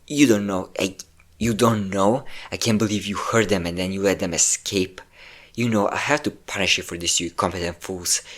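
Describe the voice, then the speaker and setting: A man playing a possessive, obsessive centuries-old vampire in a dramatic romantic audio roleplay, intense and theatrical in delivery. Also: low-pitched. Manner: intense, theatrical, swinging between tender and threatening. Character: possessive obsessive five-hundred-year-old male vampire lover, menacing, volatile.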